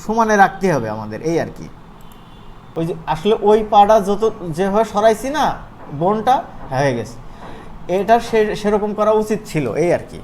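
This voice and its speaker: A man with a regional Bangladeshi Bengali accent giving an informal software tutorial, conversational and often checking that his students follow. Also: high-pitched, sing-song, moderate pace. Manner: conversational, often asks check-back questions. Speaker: animation course instructor. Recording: noisy room, clearly audible background noise.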